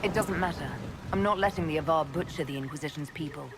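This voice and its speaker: An actress voicing a young elf mage leader in a video game, dry and composed. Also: female voice, low voice, quick pace, background noise. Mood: resolute. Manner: dry, wry.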